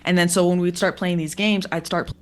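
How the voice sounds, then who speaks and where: A woman with a raspy voice recounting her childhood in a conversation, hesitant, with many fillers and restarts. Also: fairly low pitch, steady tone, fairly quick. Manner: hesitant, filler-heavy storytelling. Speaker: guest in a clip. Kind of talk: personal testimony in conversation.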